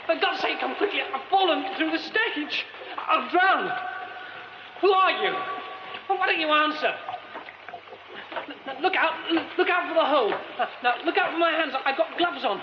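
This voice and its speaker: A man's voice: fairly high pitch, sing-song, a little slow, pauses often. An actor playing a young theatre man who mutters to himself wryly and stammers under strain. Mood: panicked. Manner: muttering to himself, wry, later frantic stammering. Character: young male theatre man exploring a derelict theatre. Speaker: lead character. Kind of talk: radio drama acting. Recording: dull old radio recording with hiss.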